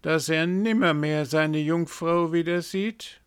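A man voicing a raven that foretells danger in a fairy tale, in a high, knowing tone. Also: fairly even intonation, takes it slowly, smooth. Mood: ominous. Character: first raven, a prophesying bird.

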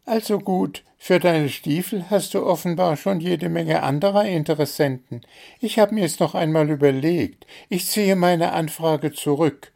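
A man voicing an excitable little bird who squeaks eagerly and schemes for presents. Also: unhurried, creaky.

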